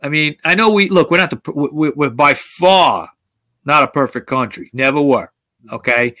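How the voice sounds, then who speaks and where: A man's voice: fairly high pitch, expressive, moderate pace, pushed and bright, dry recording. A man hosting a talk show, speaking bluntly with frequent swearing and restarts. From United States. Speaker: podcast host. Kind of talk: opinionated casual talk.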